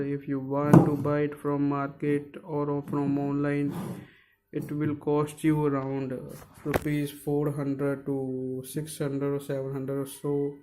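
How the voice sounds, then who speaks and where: Slow, halting man. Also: fairly high pitch, dark tone. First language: Punjabi. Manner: hesitant, searching for words, many fillers. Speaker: DIY maker. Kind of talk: casual how-to narration.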